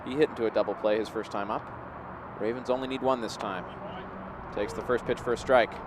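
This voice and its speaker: A man's voice: mid-range voice, fairly even intonation, fairly quick. Man calling baseball, noisy outdoor background. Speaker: play-by-play baseball commentator. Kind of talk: live sports commentary. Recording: clear outdoor background noise.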